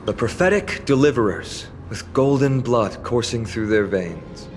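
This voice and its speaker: A man plays an earnest young warrior guide in a fantasy video game. He speaks in a courteous, measured, formal manner at a middle pitch, over loud orchestral music. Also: expressive, natural voice. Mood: solemn, courteous. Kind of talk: scripted video game dialogue.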